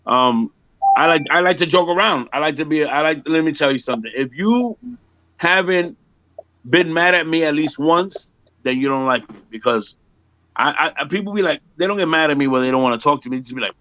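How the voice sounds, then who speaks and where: Bright, high-pitched man in his fifties, muffled audio. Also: a little fast, no echo. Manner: joking, repeats and interrupts emphatically. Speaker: music performer being interviewed. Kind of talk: casual one-on-one chat.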